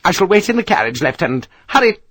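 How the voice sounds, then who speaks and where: A shrill, imperious Englishwoman barks orders in a high, swooping voice, as a male narrator puts her on. She scolds everyone in reach. The sound is dry and close. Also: big pitch swings, quick pace, fairly bright tone, dry recording, full character voice. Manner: bossy, scolding, bellowing.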